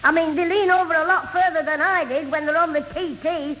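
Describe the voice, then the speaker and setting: A piping, bright child's voice, a cheeky little Northern English schemer in a radio comedy. He sounds quick-witted and mock-innocent on a muffled old broadcast. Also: very high-pitched, fairly even intonation, in a large hall, a little hiss or hum. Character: cheeky, scheming schoolboy imp. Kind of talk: 1970 radio sitcom acting.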